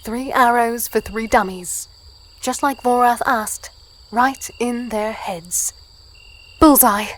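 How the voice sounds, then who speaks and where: A woman's voice: a little high, slow pace, a bit bright, slight background noise. A woman playing a plucky, spirited fighter, telling her story in earnest first person in a fantasy audio drama. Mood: tense storytelling. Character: plucky, spirited small female fighter and archer.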